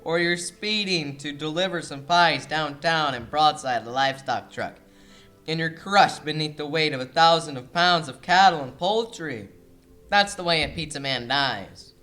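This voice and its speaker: A middle-aged mobster who runs a pizza parlor, acted by a young man, with a bright, forceful voice. He is whiny, self-pitying and melodramatic, blustering in comic radio-play style. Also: fairly high pitch, moderate pace, faint music behind. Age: young adult. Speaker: actor in a student audio play.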